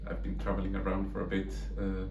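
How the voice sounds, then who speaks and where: A man's voice: low-pitched, monotone, quick pace, lo-fi sound. A man from Colombia, a mathematics lecturer in his thirties, answering interview questions thoughtfully with many fillers and restarts. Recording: echoey room from far off, faint noise.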